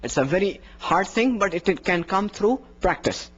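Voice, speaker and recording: Young man with a high voice teaching. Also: expressive, normal speed, a bit bright, breathy edge, highs cut off. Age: young adult. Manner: explanatory, demonstrates with his voice. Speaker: adhan instructor. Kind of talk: workshop lecture. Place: indoor room in front of a plain wall. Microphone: handheld microphone plus lapel mic.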